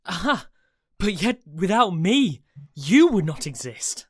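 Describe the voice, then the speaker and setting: A woman's voice: on the low side, big pitch swings, slow pace, slightly rough, exaggerated voice. A woman acting in a radio play as an earnest, idealistic immortal, questioning and pleading with emotional investment. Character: earnest, idealistic, challenging immortal counterpart of Death.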